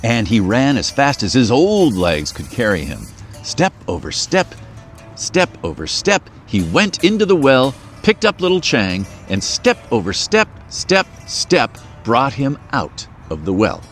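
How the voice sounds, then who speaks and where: A man reading a children's folktale aloud in a very expressive, playful storyteller manner. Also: mid-range voice, a little slow, faint music behind.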